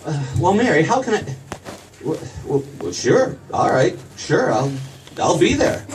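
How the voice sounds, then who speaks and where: A man's voice: fairly high pitch, very animated, measured pace. An actor in a 1940s-style radio play as an earnest, flustered young small-town businessman, stammering and repeating himself as he pleads. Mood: hesitant. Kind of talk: 1940s radio drama acting. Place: stage production. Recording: distant stage mic, faint noise.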